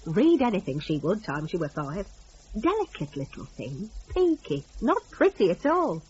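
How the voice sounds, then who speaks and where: Woman storyteller, gossipy folksy telling, really lively pitch, slightly muffled recording. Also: normal speed, slight background noise. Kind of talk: dramatic scripted story narration in folksy dialect.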